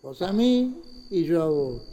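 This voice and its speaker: A man's voice: on the high side, big pitch swings, a little slow, dark tone, a little hiss or hum. A man playing a superstitious, chatty night watchman in an audio drama, with a Rioplatense Argentine accent, muttering to himself and exclaiming. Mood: uneasy, muttering. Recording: long hall-like reverb.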